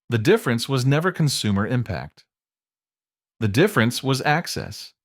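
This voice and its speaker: A man reading scripted explainer narration in a measured, emphatic way, built on short dramatic statements. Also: mid-range voice, no echo, studio quality.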